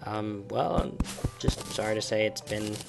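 Croaky, sick man. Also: fairly low pitch, moderate pace, loud music behind the voice. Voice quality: creaky. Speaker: gaming channel host. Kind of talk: casual channel update over gameplay. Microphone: desktop microphone.

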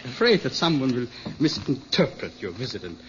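An actor playing a gentle, courteous elderly European scientist in a radio play, polite and a little hesitant. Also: male voice, medium pitch, very animated, fairly quick, close to an everyday voice. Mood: reassuring. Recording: dull, faintly hissy old radio recording.

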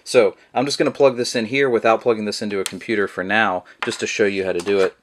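Low-voiced man explaining a gadget. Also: quick pace, a little hiss or hum. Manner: relaxed, rambling with many fillers. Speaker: product reviewer. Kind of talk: casual how-to demonstration. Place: indoors at a table, voice behind the camera.

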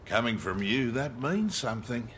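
An actor playing a cocky, sleazy mercenary crime boss in a video game, with a rough Australian accent and a taunting manner. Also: male voice, medium pitch, big pitch swings, normal speed, heavy background noise, lightly acted. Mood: sardonic. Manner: taunting, sardonic. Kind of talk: video game character banter. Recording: game audio over loud ambience and music.

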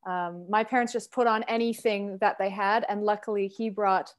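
A woman in her thirties from Canada, a singer and sax player, chatting as a guest on a video call from home in an expressive, storytelling way. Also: mid-range voice, normal speed. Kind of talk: casual interview chat. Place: home room, indoors.

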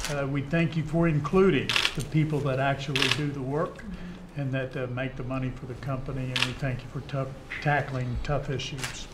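A man introducing himself as a company executive, polite and full of fillers. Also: medium pitch. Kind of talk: formal self-introduction. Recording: echoey room, loud clicking noise.

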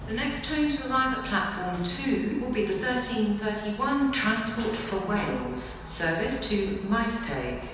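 A woman's voice: a little high, expressive, measured pace, hardly any pauses. A woman reads formal automated railway station announcements in clipped, pieced-together phrases over a public-address system. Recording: echoing station PA, noisy, long reverb.

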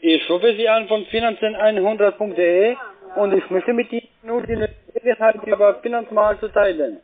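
High pitched guy pitching sales over a bad phone line, non-native speaker. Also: normal speed. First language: not German. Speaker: telemarketer. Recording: tinny narrow phone line.

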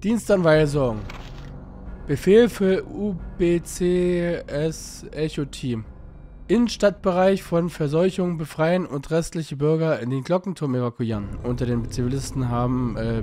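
A man with a cold and a hoarse voice, casually commenting on a game he is playing, rambling and reading in-game text aloud. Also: fairly high pitch, mellow, slight background noise. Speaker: let's play host.

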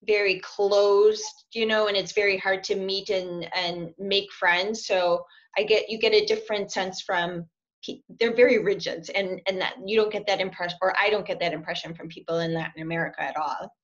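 A woman's voice: mid-range voice, steady tone, normal speed. A chatty woman in her forties talks over a laptop webcam from a small room at home. She laughs often and restarts her sentences as she goes. The call audio comes through dull and lo-fi. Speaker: language student. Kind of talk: casual interview over video call. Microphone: laptop webcam on video call.

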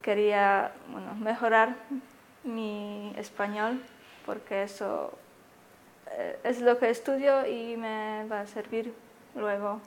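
A young woman speaks slowly and carefully, choosing her words as a learner. Her pitch is mid-range. Faint room ambience sits behind her. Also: steady tone, a little hiss or hum. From Poland. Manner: slow, careful learner's speech. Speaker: exchange intern.

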